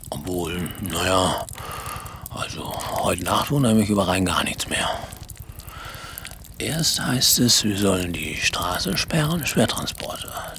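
A man's voice: fairly low pitch, noisy background. A man playing a grumbling, weary, put-upon patrol policeman in a radio drama, muttering in a resigned, rambling way. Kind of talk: naturalistic radio drama monologue.

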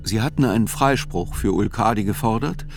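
A man reading scripted literary reportage as narrator of a radio play, in a measured, dry, articulate manner. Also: fairly low pitch, lively intonation, gravelly. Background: music.

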